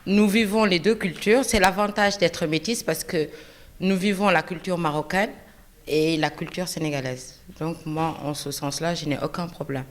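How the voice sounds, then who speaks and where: A woman doctor from Senegal introducing herself in an interview, calm and measured. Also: lowish voice. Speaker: doctor, association vice-president.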